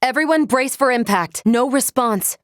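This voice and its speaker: A woman playing a polite, earnest female android in a video game, speaking in formal, precise, measured phrases. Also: high voice, dry recording, studio quality, natural voice. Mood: urgent, alert.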